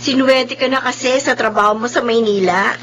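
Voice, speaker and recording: An actress playing a humble, wistful young rice-cake seller in a Filipino audio drama, polite and plaintive, with a Filipino accent. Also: female voice, on the high side, fairly even intonation, fairly quick, a bit bright, a little hiss or hum, lightly acted. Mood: polite, friendly.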